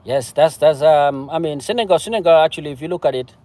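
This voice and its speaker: Cameroonian-accented man arguing politics, halting and emphatic. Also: a little high, mellow. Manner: halting, repetitive, emphatic phrasing. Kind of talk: impassioned political discussion, casual and unscripted.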